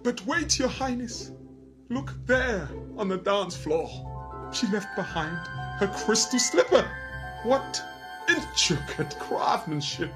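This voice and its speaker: Earnest pantomime manservant, very slow, portentous delivery over a video call. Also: male voice, sits high, lively intonation, music in the background. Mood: awed, portentous. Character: earnest male royal attendant to a pantomime prince.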